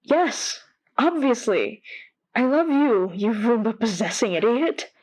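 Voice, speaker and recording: A lovestruck caller in a radio play, highish voice, takes her time, fond and teasing. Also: very animated, slow, darkish tone, exaggerated voice, rough recording. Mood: fond, firm. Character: devoted, affectionate, teasing woman in love with a ghost. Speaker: caller to a radio advice show. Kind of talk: audio drama acting.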